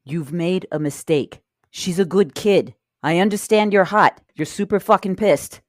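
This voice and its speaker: A woman's voice: lowish voice, measured pace, no echo, studio quality, natural voice. A woman playing a tough, loyal robber in an audio drama, blunt and insistent in the way she talks. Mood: arguing.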